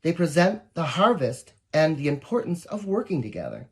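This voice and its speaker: Storybook reader, very animated intonation, expressive, talks directly to the kids between lines. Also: mid-range voice, moderate pace. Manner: expressive, addresses young listeners directly. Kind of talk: children's picture book read-aloud with asides.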